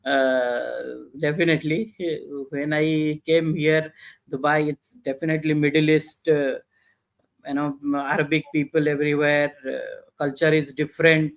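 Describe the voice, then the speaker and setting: A middle-aged man from India with an Indian English accent, telling his business story in a halting, filler-heavy way. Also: fairly high pitch, little pitch movement. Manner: halting, many "uh" fillers and restarts. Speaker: guest entrepreneur. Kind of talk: interview answers, casual storytelling.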